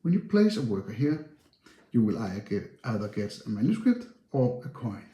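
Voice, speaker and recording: Echoey, mellow man explaining game rules. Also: on the low side, sing-song, normal speed. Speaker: board game presenter. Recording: roomy, echoey sound.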